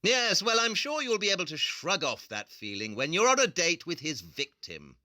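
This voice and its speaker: Man playing sharp, high-voiced brusque detective. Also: wildly swooping pitch, normal speed, no echo, clean studio sound, exaggerated voice. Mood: sardonic. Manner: sharp, emphatic, exasperated. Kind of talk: theatrical audio play acting.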